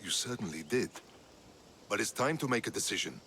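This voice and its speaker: Calm, lively-toned Spartan commander. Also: male voice, on the low side, a little fast, a little hiss or hum. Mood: measured. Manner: calm, persuasive. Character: measured, principled male Spartan commander. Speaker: Spartan general.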